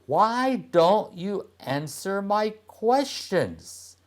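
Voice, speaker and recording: A man's voice: sits high, sing-song, drawn-out words, pitched above the performer's own voice, exaggerated voice. A man voicing a curious, easily annoyed young boy in a children's storybook read-aloud.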